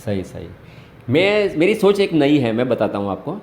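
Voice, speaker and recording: Echoey Gujarati-accented man, fairly high voice. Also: very animated, normal speed, a little mellow, a little hiss or hum. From Gujarat, India. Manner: explains step by step with everyday examples. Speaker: host, activist caller. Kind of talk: explanatory phone conversation. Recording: roomy, echoey call recording.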